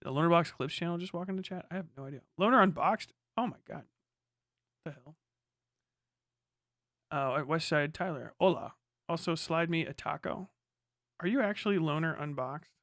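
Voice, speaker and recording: A man's voice: fairly high pitch, moderate pace, long pauses, a bit bright, no room sound, studio quality. A man hosting a casual livestream, giving blunt, profane, slangy political commentary and talking back to chat. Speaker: livestream host.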